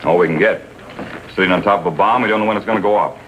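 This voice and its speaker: Male, deep American voice; brisk, factual juvenile officer, quick clipped delivery, vintage TV police drama. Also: fairly bright tone, highs cut off. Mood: businesslike. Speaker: supporting actor. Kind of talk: 1950s TV police drama acting. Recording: faintly noisy vintage TV soundtrack.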